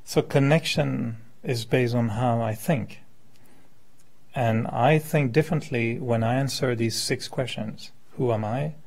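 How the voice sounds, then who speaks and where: Male speaker, fifties, mid-pitched with heavy vocal fry, informal spiritual lecture, conversational and questioning. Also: a little slow, a little mellow. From born in France, settled in Quebec. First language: French. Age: 50s. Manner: conversational, illustrates with hypothetical examples and questions. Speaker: meditation teacher, speaker. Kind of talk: informal spiritual talk to a live group.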